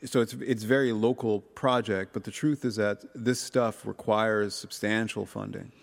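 A man who is a law professor talking as a podcast guest, halting with many ums and restarts. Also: fairly low pitch, fairly even intonation, gravelly, big-room sound. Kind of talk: casual conversation.

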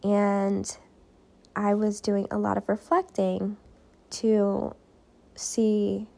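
Young woman host with a gravelly voice. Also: medium pitch, monotone, drawn-out words, darkish tone. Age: 20s. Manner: upbeat, chatty, addresses listeners directly. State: losing her voice. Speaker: podcast host and life coach. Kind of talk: casual solo podcast chat.

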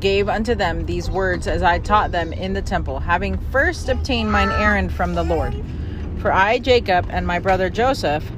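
A woman with a plain, measured delivery, reading scripture aloud and chatting casually as a parent leading a family study. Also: fairly low pitch. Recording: clear vehicle noise.

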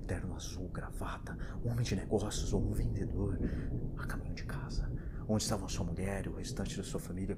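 Man acting a frantic, low-voiced paranoid husband. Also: very noisy. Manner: frantic, breathy, stammering, lurching from whispers to shouts. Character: panicked, paranoid husband and father fleeing an alien invasion. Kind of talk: audio drama acting.

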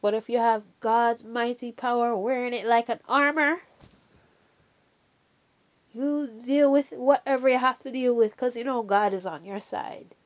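A woman's voice: a little high, steady tone, a little slow. A woman with a Jamaican accent giving a casual, earnest faith talk. She speaks emphatically, repeats key phrases for weight, and often adds "you know" and "all right".

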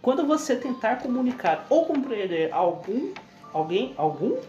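A woman with a Brazilian Portuguese accent, playing in a tabletop game, expressive and quick to exclaim. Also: fairly high pitch, lo-fi sound. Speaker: player. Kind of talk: casual tabletop play. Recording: echoey room with music behind.

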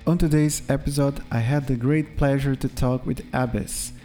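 A man's voice: medium pitch, fairly even intonation, normal speed, music in the background. A man hosting a podcast, asking questions in a friendly, slightly hesitant way. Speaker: podcast host. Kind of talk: casual interview.

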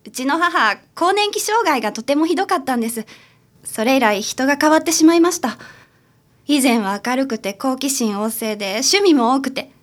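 Woman acting a troubled, sincere daughter, high voice. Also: lively intonation, normal speed. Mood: earnest confiding. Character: troubled, sincere adult daughter seeking a detective's help. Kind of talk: radio drama acting.

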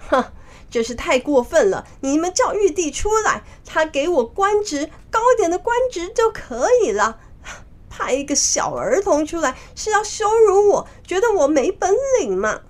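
Indignant storybook monkey king played by a woman, boastful and scornful, high-pitched with big swoops. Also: lively intonation, moderate pace, few pauses, natural voice. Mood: outraged. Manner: loud, scornful, exclamatory. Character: proud, hot-tempered male monkey king, indignant and boastful. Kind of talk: children's storybook character voice.

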